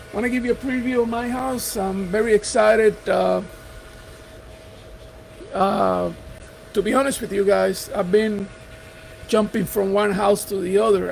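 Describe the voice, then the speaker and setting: A man's voice: high voice, vocal fry, darkish tone, a little hiss or hum, low-quality recording. A middle-aged man from Puerto Rico with a Puerto Rican Spanish accent, giving an earnest, encouraging motivational talk to camera about investing. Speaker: real estate investor. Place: house.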